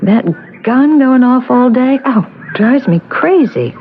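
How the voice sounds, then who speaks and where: A woman's voice: high-pitched, animated, slow pace. An actress playing a fussy, anxious, nosy neighbour woman in an old-time radio drama, given to exclaiming. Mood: fretful gossip. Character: fretful, nosy woman neighbour watching and gossiping. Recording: thin lo-fi vintage radio, faint noise.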